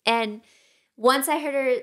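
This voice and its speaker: A woman's voice: high voice, a little slow, a bit bright. A woman co-hosting a podcast, telling a story in casual chat, laughing often. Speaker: podcast co-host.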